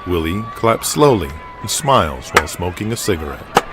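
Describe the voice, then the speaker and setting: A man narrating a scripted audio drama with dramatic, measured storytelling. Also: low voice, big pitch swings, loud music behind the voice.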